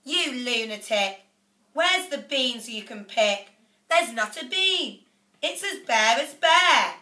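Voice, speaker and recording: A woman voicing a bossy middle-aged mother who scolds in loud outbursts. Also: a little high, slow pace. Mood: shrill. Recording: echoey room, off-mic.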